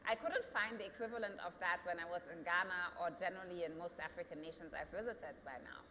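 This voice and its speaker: A woman's voice: fairly low pitch, steady tone, quick pace, bright, forceful tone, long reverb tail, slight background noise. A woman in her thirties with a German accent, a company founder answering interview questions earnestly and rising to emphatic peaks. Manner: earnest, builds to emphatic peaks, thinks aloud with restarts.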